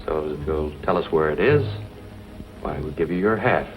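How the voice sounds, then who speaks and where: An actor playing a menacing crook in an old western film, smooth-talking with a threatening edge. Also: male voice, extremely low pitch, normal speed. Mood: coaxing. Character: menacing male crook coaxing and threatening a boy. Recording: muffled vintage film soundtrack, music underneath.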